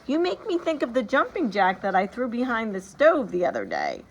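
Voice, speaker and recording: Brief: boisterous young boy, loud and drawn-out, voiced by a woman. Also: on the high side, wildly swooping pitch, voice pushed up, heavily acted, low-quality recording. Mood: amused. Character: excitable, laughing young boy.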